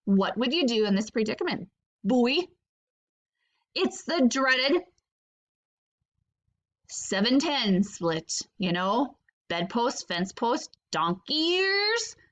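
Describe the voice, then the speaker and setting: A woman's voice: a little high, big pitch swings, slow, long pauses, a bit bright, heavily acted. A woman voicing a small, rude, male rabbit creature from a children's storybook, with a snippety, haughty manner.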